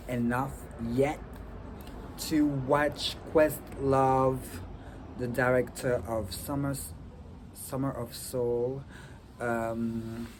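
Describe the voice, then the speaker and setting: French-speaking man talking slowly outdoors. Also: medium pitch. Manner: halting, many ums and restarts. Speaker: vlogger. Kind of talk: casual talk to camera. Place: outdoors in wind. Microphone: phone on a tripod. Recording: wind noise on a phone mic. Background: wind and outdoor ambience.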